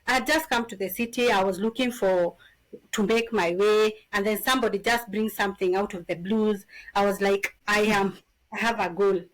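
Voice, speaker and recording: A woman in her thirties from Kenya with a Kenyan accent, a podcast guest telling her story in an animated, casual way. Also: medium pitch, normal speed, low-quality recording. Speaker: podcast guest, business founder.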